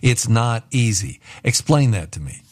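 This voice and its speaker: A middle-aged man with a medium-pitched voice hosts a radio interview. He speaks conversationally, in a reflective and self-deprecating manner. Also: very animated, measured pace. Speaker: interview show host.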